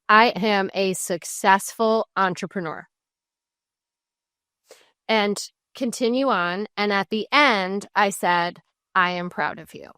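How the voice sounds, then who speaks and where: Woman, forties, reflective interview talk, fry in the voice, dry close sound. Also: mid-range voice, fairly bright tone, dry recording. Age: 40s. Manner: reflective, open, many restarts and fillers. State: tearful at moments. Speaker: podcast guest, entrepreneur. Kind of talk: candid conversational interview.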